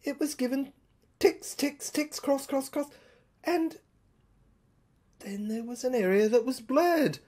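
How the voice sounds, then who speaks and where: A woman performing a dramatic first-person monologue in halting short phrases with pauses, breathy and husky, sometimes dropping towards a whisper. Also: sits high, lively intonation, darkish tone.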